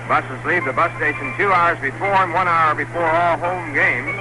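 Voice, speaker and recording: A man's voice: a little high, moderate pace, poor audio. A man reading a friendly, informative promotional announcement during a 1960s baseball radio broadcast. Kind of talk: live-read promotional announcement. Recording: vintage radio broadcast with music.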